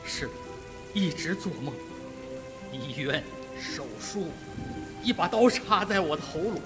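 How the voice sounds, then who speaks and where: An actor in a radio drama playing an anxious, worn-out doctor, nervy and distrustful. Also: male voice, fairly high pitch, lively intonation, a little slow, loud music behind the voice. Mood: weary, uneasy.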